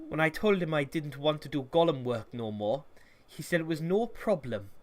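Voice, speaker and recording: A man's voice: a little high, darkish tone. A man playing a laid-back, good-natured young storyteller in a comedy fantasy audio drama, rambling and sweary in colloquial talk.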